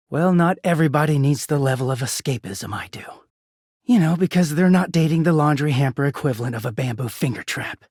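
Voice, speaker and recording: A man's voice: on the high side, dry recording, clean studio sound. A man voicing a bitter, exasperated, sardonic, foul-mouthed young male living-laundry character in a video game. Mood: sullen, sardonic. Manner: sardonic, foul-mouthed, swinging from muttering to shouting.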